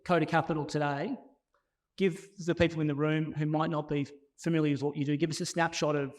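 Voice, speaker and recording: Higher-voiced male interviewer. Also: flat delivery, fairly quick. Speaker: interviewer, event host. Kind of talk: conversational on-stage interviewing.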